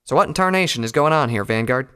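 A man's voice: mid-range voice, expressive, fast, bright, forceful tone, clear, resonant tone, pitched above the performer's own voice. A man voicing a feisty young country mare pony with a folksy, forthright manner in a fantasy audio story. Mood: puzzled.

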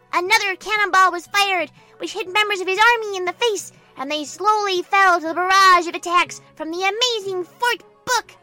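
A woman voicing a giggly, excitable little girl who tells a storybook tale of her play with childlike glee. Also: extremely high pitch, pitched above the performer's own voice, exaggerated voice. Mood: excited, commanding. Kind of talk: storybook narration in character.

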